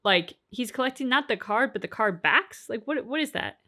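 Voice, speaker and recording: A woman's voice: a little high, expressive, moderate pace, pushed and bright. A woman co-hosting a reaction show, chatting casually and inquisitively as she thinks aloud.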